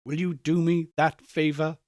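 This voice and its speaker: A man's voice: a little high, flat delivery, a little slow, a little mellow, dry recording, clean studio sound, close to an everyday voice. A man voicing a reformed old miser in a storybook reading, joyful and kindly, speaking with effusive, earnest courtesy. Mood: eager, grateful.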